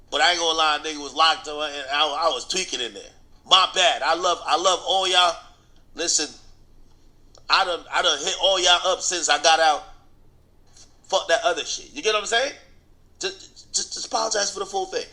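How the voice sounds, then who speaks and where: A man's voice: a little high, sharp tone. A man giving casual, slangy commentary on celebrity gossip, bluntly and with repeated phrases for emphasis. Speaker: online commentator and host.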